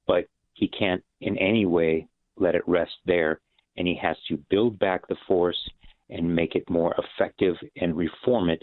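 Brief: Midwest male pundit, very low voice, firm and steady, tinny phone-line sound. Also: no echo. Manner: steady, assertive analysis. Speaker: political commentator. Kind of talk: radio panel discussion. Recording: phone-line guest, dry.

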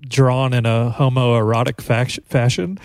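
A man in a loose podcast chat, his voice neither high nor low. He jokes about himself with a tired, jaded edge and laughs through his lines. The sound is dry and close. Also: fairly even intonation, a little slow, a little mellow, no echo. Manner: jaded, self-deprecating, laughs often. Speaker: podcast host. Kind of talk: casual chat.

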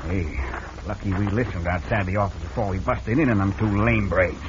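An actor as a low-voiced outlaw henchman. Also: fairly quick, lightly acted. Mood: sneering. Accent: American. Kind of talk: old-time radio western acting. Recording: muffled, noisy old radio recording.

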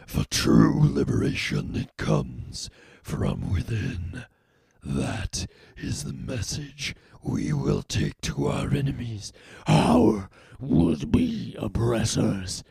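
A man playing a monstrous half-machine cult leader in an audio story, preaching solemnly and menacingly in a gravelly rasp. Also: deep voice, very animated, drawn-out words, a little mellow, no echo, heavily acted. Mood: grandiose, preaching. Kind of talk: dramatic audiobook character acting.

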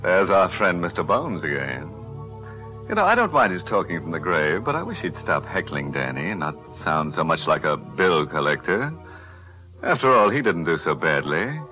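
A man's voice: low voice, very animated, moderate pace, over music, poor audio. A man playing a wisecracking host with ghoulish, sardonic humor on a 1940s radio show.

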